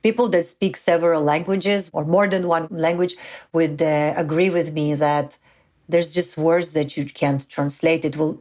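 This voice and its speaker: A woman's voice: low-pitched, normal speed. A woman talking as a podcast guest, telling stories casually with lots of "like" and "you know". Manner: lively storyteller, frequent "like" and "you know". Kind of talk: casual conversational interview.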